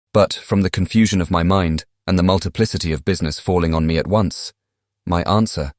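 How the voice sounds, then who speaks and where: A man with a British accent playing a contrite, impassioned young English gentleman reading out his letter in formal period language for a dramatized audiobook. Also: low-pitched, dry recording, clean studio sound, close to an everyday voice. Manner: formal, eloquent period diction. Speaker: audiobook cast reader.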